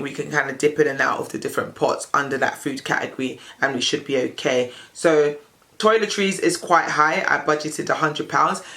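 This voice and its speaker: British woman vlogger, voice husky and on the mend, friendly rambling delivery. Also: sits low, a bit bright. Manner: chatty, upbeat, strings thoughts with "so". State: husky, voice recovering from loss. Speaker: personal finance video creator. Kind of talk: casual budget walkthrough to camera.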